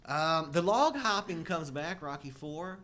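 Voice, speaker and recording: A man's voice: lively intonation, unhurried, fairly bright tone. A middle-aged man co-hosting a live show, chatting casually about movies with wry, jokey exaggeration. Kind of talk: casual humorous movie-discussion chat. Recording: noticeable low rumbling background noise.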